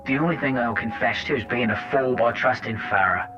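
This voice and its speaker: An actor plays a sardonic, hot-tempered male agent narrating a radio drama. He has a mid-pitched voice and wry, colloquial phrasing, on a dull tape with music clearly underneath. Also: fairly quick, natural voice. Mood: defiant. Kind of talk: first-person scripted drama narration.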